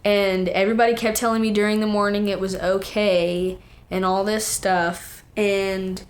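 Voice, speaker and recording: A teenage young woman, a guest singer, telling stories in casual, animated chat full of quoted asides. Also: mid-range voice, normal speed.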